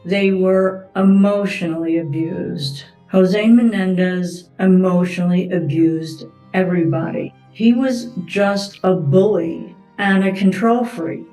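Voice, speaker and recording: A woman's voice: lowish voice, fairly even intonation, takes it slowly, hardly any pauses, dark tone. A woman giving a recorded news interview, emphatic and repeating her points, heard in a roomy, distant recording. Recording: roomy, distant mic, music behind.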